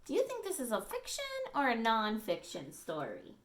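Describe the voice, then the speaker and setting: Teacher reading aloud, woman, animated sing-song delivery, slightly high voice, friendly asides to kids. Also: moderate pace, slight background noise. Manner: expressive, animated storytelling with asides to listeners. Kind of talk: reading a picture book aloud to children.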